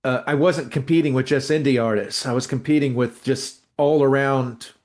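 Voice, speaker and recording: A man's voice: medium pitch, steady tone, moderate pace, darkish tone. A man co-hosting a casual podcast, rambling and blunt, often searching for words mid-sentence. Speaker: co-host, musician.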